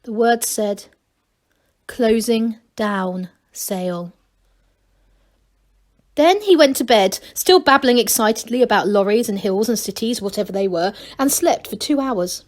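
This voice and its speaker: Female story reader for a school: expressive narration, slightly high pitch. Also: moderate pace, hardly any pauses.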